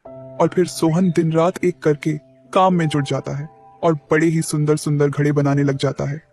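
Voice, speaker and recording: A man narrating a cartoon moral story in a steady, explanatory way. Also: on the high side, normal speed. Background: faint music.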